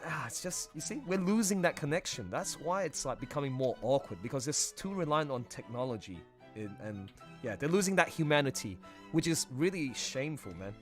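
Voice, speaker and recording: A man's voice: medium pitch, animated, fairly quick. A middle-aged man hosting a casual livestream chat, chatty and frank, often checking in with tag questions. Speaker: livestream host. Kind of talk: casual, candid group chat. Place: live online voice chat stream. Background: music playing behind the voice.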